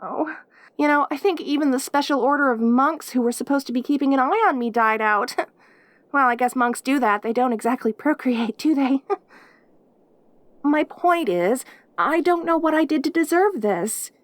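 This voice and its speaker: A woman's voice: sits high, moderate pace, dry recording, close to an everyday voice. A woman voicing a perky, lonely caged demon girl in an intimate audio roleplay, with an eager, rambling, stammering manner. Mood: pleading, defensive. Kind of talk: audio roleplay acting, addressing the listener intimately.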